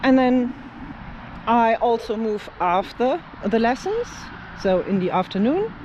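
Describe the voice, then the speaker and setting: Slow, rambling speaker. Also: mid-range voice, very animated, a little mellow, muffled recording, a little hiss or hum. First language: German. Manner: unhurried and rambling, with many fillers and light laughs. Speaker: language teacher and travel vlogger. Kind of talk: casual talk to camera. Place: outdoors in an open field.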